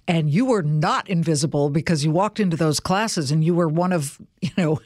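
A woman's voice: low-pitched, a little mellow, dry recording, studio quality. A woman hosting an interview, asking questions in a chatty, opinionated way with asides and restarts. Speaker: interview host. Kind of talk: conversational interview.

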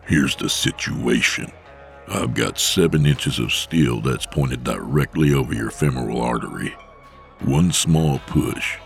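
A man voicing a menacing tough guy with a deep, growling rumble. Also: creaky, heavily acted.

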